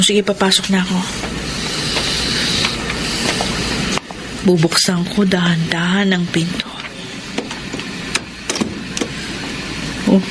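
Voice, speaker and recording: A woman's voice: fairly low pitch, background noise, natural voice. A woman acting a resentful, righteous adult daughter in a radio drama, earnest and emotional. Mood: hushed, curious.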